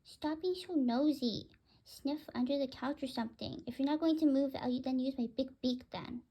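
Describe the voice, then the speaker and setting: A woman's voice: high-pitched, moderate pace, mellow. A woman voicing a boastful, greedy pet bird in a children's story read aloud. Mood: indignant, cocky. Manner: bragging, indignant first-person storytelling.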